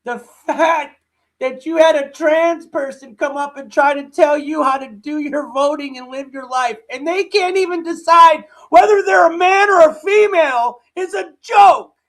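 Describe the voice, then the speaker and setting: High-voiced mocking man, slow talk. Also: fairly bright tone, rough recording. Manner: mocking, laughing ranting. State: sore throat. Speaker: livestream host. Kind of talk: live reaction commentary.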